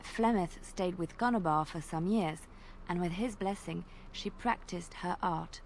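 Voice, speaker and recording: A woman telling an old legend in character during a tabletop roleplay, measured and dramatic, at times dropping to a hush. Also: on the low side, a little hiss or hum, low-quality recording.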